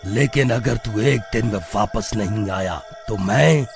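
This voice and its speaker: A vengeful skeleton ghost from a horror cartoon. The voice is gravelly and slow, full of threat and demand, with clear music playing behind it. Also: male voice, fairly low pitch. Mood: threatening. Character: menacing vengeful male skeleton ghost from a well. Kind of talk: animated horror story acting.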